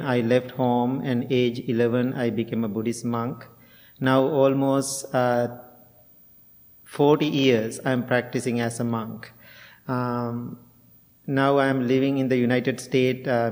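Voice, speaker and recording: Slow, gentle monk from Sri Lanka. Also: male voice, medium pitch, long reverb tail. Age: middle-aged. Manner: slow, gentle, with frequent pauses and fillers. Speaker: Buddhist monk and meditation teacher. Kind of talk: guided meditation and dharma talk.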